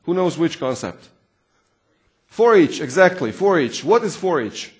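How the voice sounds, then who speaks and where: A man's voice: a little high, big pitch swings, measured pace. A man from Croatia with a Croatian accent presents live to a technical audience, informally, often posing questions and repeating words for emphasis. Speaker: conference presenter, software consultant and trainer.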